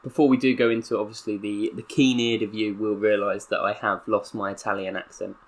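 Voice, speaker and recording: A young man with a Southern English accent reads out a mock press statement in a low, earnest voice. His delivery is deliberately solemn, slipping now and then into excitable asides. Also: heavy background noise. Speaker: gaming YouTuber. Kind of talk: role-playing a footballer's press statement.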